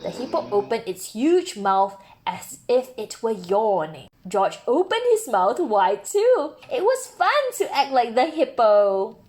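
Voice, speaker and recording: Female children's storybook narrator: high voice, very lively pitch, dramatic emphasis. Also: takes it slowly, hardly any pauses, slight background noise. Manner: expressive, dramatic emphasis for young listeners. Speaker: storybook reader. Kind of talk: expressive children's read-aloud narration.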